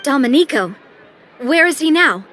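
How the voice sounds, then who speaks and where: A woman playing a confident, poised young leader in a video game, brisk and businesslike yet informal. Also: sits high, animated, fairly bright tone.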